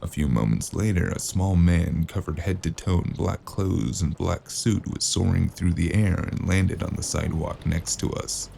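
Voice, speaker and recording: A man playing a weary, gruff detective in a noir audio drama, speaking in a dry, terse, gravelly way. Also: low voice, normal speed, hardly any pauses, mellow, slight background noise. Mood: brooding narration. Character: weary gruff male noir detective narrating his cyberpunk case. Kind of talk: hard-boiled noir audio drama narration and dialogue.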